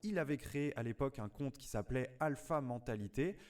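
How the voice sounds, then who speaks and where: A man's voice: mid-range voice, lively intonation, brisk, darkish tone. A man reading scripted narration with a dry, sarcastic edge. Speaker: video narrator.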